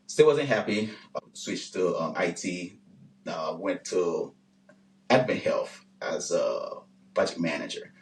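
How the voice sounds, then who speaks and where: A man in his forties with a Haitian accent, answering interview questions about his engineering career in an unhurried way, with many pauses and fillers. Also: deep voice. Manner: unhurried, many "um"s and repeated words, short laughs. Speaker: guest, engineer and business owner. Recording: roomy sound, mic at a distance.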